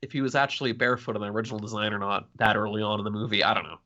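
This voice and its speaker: A man's voice: fairly low pitch, steady tone, rapid-fire, sharp tone, lo-fi sound. A man co-hosting a podcast, chatting informally about a film with loose, slangy phrasing. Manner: informal, peppered with "like". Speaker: podcast co-host. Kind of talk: casual chat, film discussion.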